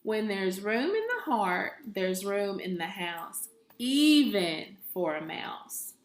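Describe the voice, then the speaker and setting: Woman reading as a cheerful forgetful grandmother, slow and echoey. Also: medium pitch, very animated. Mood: wondering. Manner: exclaiming, musing aloud to herself.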